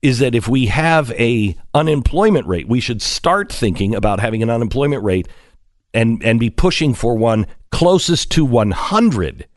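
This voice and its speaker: A man's voice: medium pitch, expressive, moderate pace, no room sound, clean studio sound. A man in his fifties hosting a talk radio show, wry and questioning as he leads the talk. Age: 50s. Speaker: radio host. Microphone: studio microphone.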